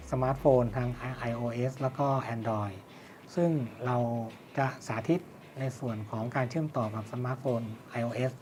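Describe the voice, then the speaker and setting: A man reviews and demonstrates a product in a mid-range voice. He explains step by step, often asking a question and then answering it. Music plays behind him throughout. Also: medium pitch. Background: background music.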